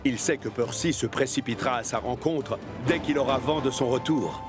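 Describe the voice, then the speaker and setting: A man's voice: medium pitch, moderate pace, over music, natural voice. A man narrating a French-dubbed children's cartoon as a scripted storyteller. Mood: storytelling.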